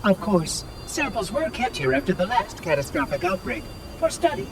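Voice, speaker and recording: A man's voice: high-pitched, big pitch swings, moderate pace, recorded from a distance, noisy background. A man voicing a polite, eccentric robot caretaker with a formal, fussy, precise manner in a video game. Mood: matter-of-fact, fussy. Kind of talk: video game cutscene acting.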